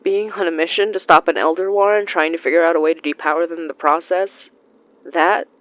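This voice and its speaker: A woman acting a guilt-ridden, self-doubting but tender young woman in a naturalistic audio drama, with a halting, emotional delivery. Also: on the low side, fairly even intonation, no room sound, lo-fi sound, natural voice. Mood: tender, wry.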